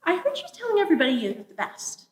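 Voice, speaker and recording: A woman's voice: very high voice, wildly swooping pitch, quick pace, a little hiss or hum, voice pushed up, heavily acted. Woman as a firm, sensible bear, echoey room. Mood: earnest, chiding. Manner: earnest, admonishing. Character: sensible, firm female bear who scolds the vain. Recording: echoey room, distant mic.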